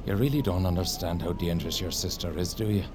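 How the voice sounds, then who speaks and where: A man playing a gruff, distrustful police officer in an audio drama. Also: deep voice, quick pace, over music, close to an everyday voice. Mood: stern, suspicious. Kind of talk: immersive audio drama acting. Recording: noisy car ambience.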